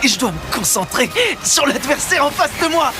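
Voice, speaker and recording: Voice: sits high, very animated, moderate pace, music in the background. A performer playing an earnest, anxious but determined teenage boy hero in a French anime dub. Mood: tense, focused. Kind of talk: French-dubbed anime acting.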